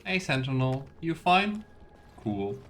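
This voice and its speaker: A man's voice: mid-range voice, very animated, takes it slowly, fairly bright tone. A man giving casual, musing let's play commentary as a game streamer, with playful asides to his viewers. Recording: faint noise with keyboard clicks.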